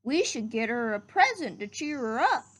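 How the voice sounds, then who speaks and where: A woman's voice: a little high, very animated, normal speed, fairly bright tone. A woman voicing earnest, wistful young schoolchildren in a storybook, with a simple singsong delivery. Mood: earnest, helpful.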